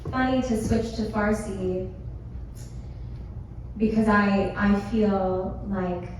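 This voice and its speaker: A woman's voice: medium pitch, fairly even intonation, takes it slowly, a little mellow, slight background noise. A woman playwright from California speaking candidly and with self-deprecation on a panel. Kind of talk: casual panel talk. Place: theater stage. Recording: echoey hall, distant mic.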